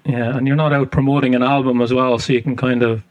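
A man's voice: medium pitch, flat delivery, quick pace, a little mellow, dry recording, highs cut off. A man with an Irish accent interviewing a musician on the radio, asking long, rambling questions with plenty of fillers. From Ireland. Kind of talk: casual interview chat.

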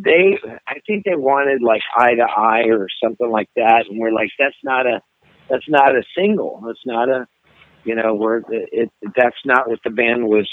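A man's voice: medium pitch, no room sound. A middle-aged man, a singer, talks casually in an interview, hesitating and restarting often. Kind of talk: casual interview over a phone line. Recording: dry tinny phone line.

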